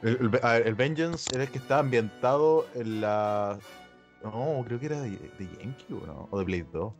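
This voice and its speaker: A man with a Chilean Spanish accent co-hosting a podcast, joking and dropping slang into quick interjections. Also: mid-range voice, soft background music. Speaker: podcast co-host. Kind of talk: casual chat.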